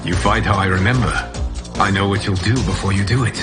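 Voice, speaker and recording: Noble warrior god, acted by a man, very low voice, solemn, resolute, earnest game cutscene acting, music underneath. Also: fairly even intonation, fairly quick, natural voice. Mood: warm camaraderie. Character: noble, resolute male warrior god guarding his timeline.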